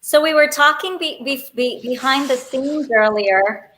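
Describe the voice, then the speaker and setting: Female co-host from Colombia, casual chat, fairly high voice with a bright, crisp edge. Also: a little slow, slight background noise, low-quality recording. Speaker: talk show co-host.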